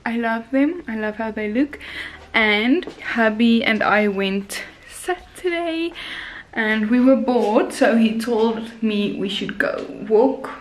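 A woman's voice: on the high side, slow. A young woman vlogging at home, chatting casually to the camera while filming on a handheld phone in her kitchen and bathroom. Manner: rambling, talks straight to the camera while cooking. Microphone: handheld phone camera at arm's length.

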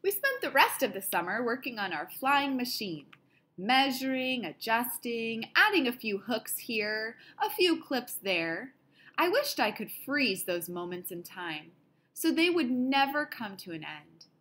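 Woman, storybook read-aloud, higher bright voice, deliberate dramatic phrasing, slightly husky. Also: sing-song. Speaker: storybook reader. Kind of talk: expressive children's read-aloud.

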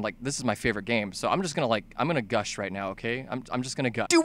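A man with a highish, forceful voice delivers comedic video game commentary. His pitch swings widely as he hammers his points. He swears freely and can burst into shouting. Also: no echo. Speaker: video essay narrator, fan dubbing a game review.

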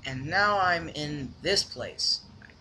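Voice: medium pitch, lively intonation, takes it slowly, sharp tone, a little hiss or hum, poor audio. An amateur voice actor playing an irritable, whiny talking cup hero in a homemade cartoon. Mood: glum. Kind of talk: amateur animation voice acting.

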